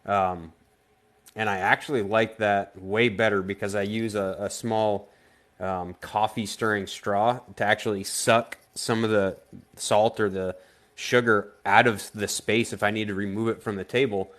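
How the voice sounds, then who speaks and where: A man from Vermont, a guest artist on a casual live-stream interview, speaking in a halting way with frequent restarts. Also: lowish voice, steady tone, fairly bright tone. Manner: halting, stops and restarts often.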